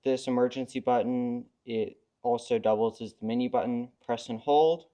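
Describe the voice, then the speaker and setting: A man's voice: medium pitch, fairly even intonation, normal speed, mellow. A young man co-presenting, explaining equipment step by step in a matter-of-fact, instructional way.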